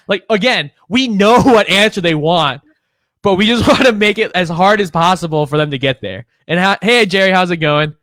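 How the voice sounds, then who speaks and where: A young man from Illinois co-hosting a podcast, chatting casually, profane and animated, laughing often. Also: high voice, moderate pace, no room sound. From Illinois, United States. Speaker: podcast co-host. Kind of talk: casual chat.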